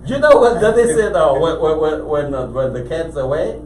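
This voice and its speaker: A man from Cambodia with a Cambodian accent, a business owner telling his story as a guest in a casual interview, animated and full of restarts. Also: fairly high pitch, normal speed. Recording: echoey room, mic at a distance, faint background noise.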